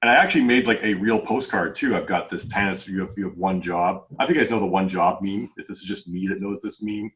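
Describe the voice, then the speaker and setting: A man's voice: low-pitched, fast, few pauses, a bit bright. A man chatting casually and informally on a podcast as an independent author and host, using slangy, chatty wording. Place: video call group podcast.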